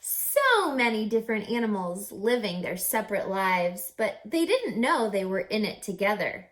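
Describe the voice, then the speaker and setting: A woman reading a picture book aloud like a teacher, deliberate and instructive, with dramatic pauses and questions for young listeners. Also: mid-range voice, sing-song, fairly bright tone, poor audio.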